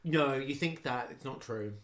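A man's voice: mid-range voice, normal speed, fairly bright tone. A man of about thirty in a casual chat, wry and emphatic, repeating himself as he thinks aloud.

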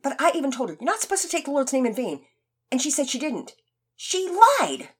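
A woman voicing an eager, defensive young boy who stammers and rushes his words in a storybook reading. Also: sing-song, a little mellow, slightly rough, full character voice. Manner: fast, stammering, high-pitched.

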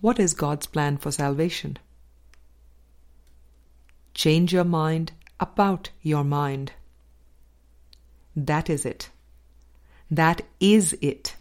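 A woman narrating a spiritual dialogue book as a scripted audiobook reading, with measured, deliberate phrasing and pauses. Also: low voice, a little mellow. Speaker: audiobook reader.

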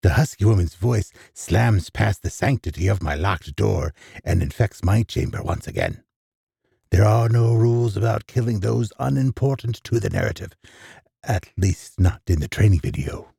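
A man giving a theatrical first-person horror narration with a gravelly, husky voice, dropping into hushed asides. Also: on the low side, lively intonation, normal speed, no room sound, studio quality. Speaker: storyteller.

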